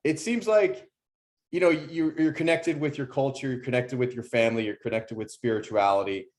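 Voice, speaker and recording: A man's voice: medium pitch, normal speed. A man hosting an interview, asking long, rambling questions with many restarts. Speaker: interview host.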